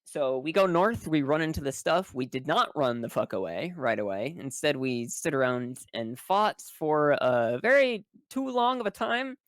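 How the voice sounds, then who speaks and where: A player of a tabletop role-playing game giving a rambling, halting spoken recap in casual language, with wry phrasing and free swearing. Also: medium pitch, expressive, a bit bright, no room sound. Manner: swears freely, wry and colloquial.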